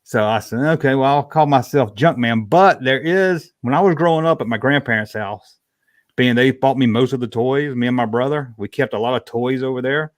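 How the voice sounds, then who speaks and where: A man's voice: mid-range voice, normal speed, dry recording. Middle-aged man with a Southern US accent. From Georgia, US South. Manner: folksy, colloquial. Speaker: livestream guest, toy collector. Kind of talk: casual chat, answering viewer questions.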